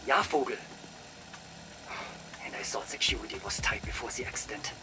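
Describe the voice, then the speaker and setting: An actor playing a nervous, skeptical German doctor of the war years in a video game, with a German accent and a doubtful, uneasy manner. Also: male voice, medium pitch, normal speed, pushed and bright, faint music behind. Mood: wry, doubtful. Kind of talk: video game audio log acting.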